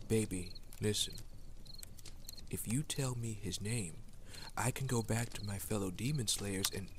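A man playing a tender, uneasy demon slayer in a secret romance, speaking softly and hesitantly in an intimate ASMR roleplay. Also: lowish voice, natural voice. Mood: coaxing. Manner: soft, hesitant, affectionate. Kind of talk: ASMR roleplay, intimate one-sided dialogue. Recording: clear noise of outdoor night ambience, crickets. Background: crickets and night nature ambience.

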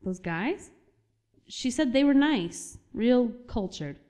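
A woman's voice: on the high side, expressive, slow pace, a little mellow. A woman playing an easygoing, concerned niece in a casual, conversational way in an audio drama. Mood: casual, puzzled.

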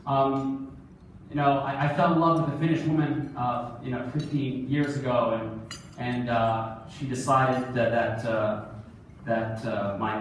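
Animated man speaking on a conference stage. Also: medium pitch, slight background noise. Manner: lively, animated storyteller with audience questions. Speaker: keynote speaker. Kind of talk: storytelling keynote speech. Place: large conference hall, on stage. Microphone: phone camera far from the stage. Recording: echoey hall recorded from far off.

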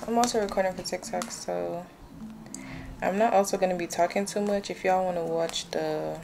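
A woman's voice: on the low side, steady tone, normal speed, vocal fry, over loud music. A young woman chatting casually and informally to camera in a slangy vlog style. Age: young adult. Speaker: vlogger.

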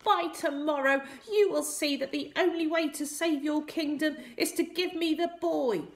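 A woman voicing a sly, menacing little man in a children's storybook, with a high, squeaky, demanding voice. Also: normal speed. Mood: threatening. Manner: cackling, demanding. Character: strange little old-tale villain man, menacing, sly.

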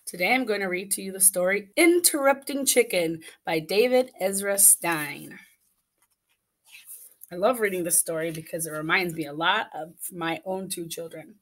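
A woman's voice: on the low side, animated, normal speed. A middle-aged woman reading a picture book aloud expressively for children, in a small office. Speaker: elementary school staff member reading aloud. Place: small indoor office with bookshelves.

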